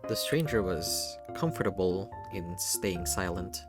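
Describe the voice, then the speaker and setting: Unhurried storytelling narrator over music. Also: low voice, animated. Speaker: narrator and game reader. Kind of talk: scripted first-person story narration.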